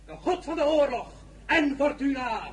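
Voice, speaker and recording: An actor playing a grandiose, raving young general with bombastic delivery in a radio drama. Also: male voice, extremely high pitch, animated, moderate pace. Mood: grandiose, menacing. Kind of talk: theatrical radio drama acting. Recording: radio drama with faint crowd noise.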